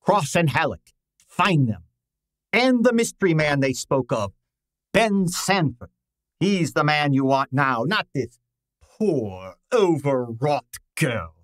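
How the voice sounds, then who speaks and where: A man playing a pompous, imperious middle-aged genius detective, precise and deliberate, in an old-time radio drama style. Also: on the high side, sing-song, a bit bright, no echo, clean studio sound, full character voice. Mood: persuasive.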